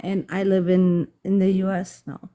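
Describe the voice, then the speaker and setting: A woman's voice: mid-range voice, flat delivery, moderate pace, warm tone. A woman from Germany, a guest on a live stream, chatting in an easygoing, friendly way. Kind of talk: casual chat.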